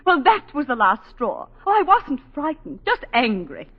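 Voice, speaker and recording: High, swooping 1940s radio drama wife. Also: female voice, huge pitch swings, breathy edge, full character voice. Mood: agitated. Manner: halting, emotive, theatrical storytelling. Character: distraught planter's wife recounting a killing, self-possessed and indignant. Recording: dull 1940s radio broadcast, long reverb.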